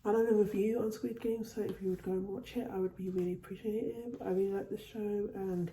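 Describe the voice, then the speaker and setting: A woman's voice: mid-range voice, fairly even intonation, quick pace, dark tone, echoey room, slight background noise. A woman showing off her shopping haul in a casual home vlog, her voice still a bit off from a cold. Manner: chatty, repeats and restarts phrases. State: recovering from a cold. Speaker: vlogger. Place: at home, indoors.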